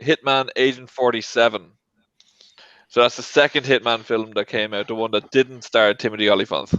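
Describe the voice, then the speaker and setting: A man's voice: medium pitch, fairly even intonation, moderate pace, fairly bright tone, clean tone, no room sound. A man hosting a casual panel debate about films, recorded on a lo-fi online call. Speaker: show host.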